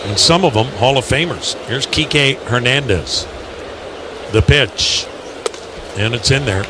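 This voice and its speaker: An American man calling live baseball on the radio, mixing measured storytelling with clipped pitch calls. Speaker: baseball radio play-by-play announcer. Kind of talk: live commentary with conversational asides. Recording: clearly noisy ballpark broadcast.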